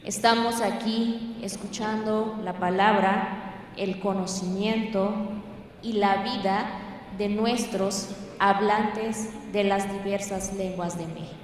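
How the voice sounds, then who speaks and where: Slow-paced Mexican woman announcing in echoing hall. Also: medium pitch, steady tone, far from the mic. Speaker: master of ceremonies. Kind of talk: formal ceremonial event announcing. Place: large event hall. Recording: very echoey hall, amplified, faint noise.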